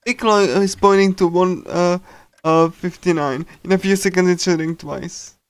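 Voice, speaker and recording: Higher-pitched man, halting livestream reader. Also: steady tone, smooth, dry recording. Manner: halting, repeats and stumbles while reading. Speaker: streamer. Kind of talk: casual game livestream commentary and reading aloud. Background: game music.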